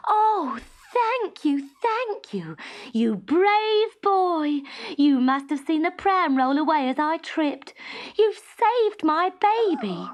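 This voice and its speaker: A woman's voice: very high-pitched, very animated, slow pace, voice pushed up, exaggerated voice. A British woman voicing an overwrought, effusively thankful young mother in a children's storybook, with a gushing manner. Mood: gushing relief.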